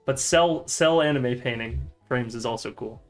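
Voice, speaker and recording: A man's voice: mid-range voice, normal speed, soft background music. A man hosting a casual stream, rambling and laughing while he works on a 3D model, with casual swearing. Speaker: streamer and podcast host.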